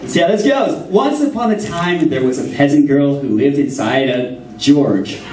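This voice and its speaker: A man's voice: fairly high pitch, animated, normal speed, background noise. A man performing a joking storybook routine on stage for a live audience, chatting and laughing between lines. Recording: roomy hall sound from far off.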